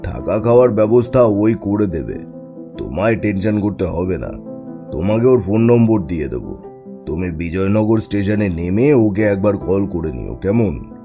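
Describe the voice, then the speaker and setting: A man's voice: on the low side, lively intonation, moderate pace. A man playing an older office boss, authoritative and coaxing, in acted cartoon dialogue. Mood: instructing. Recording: music behind the voice.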